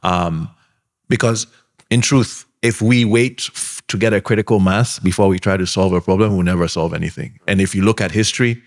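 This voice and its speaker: A man answering questions on a panel, giving earnest, measured advice with frequent pauses and ums. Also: low-pitched. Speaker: alumnus panelist.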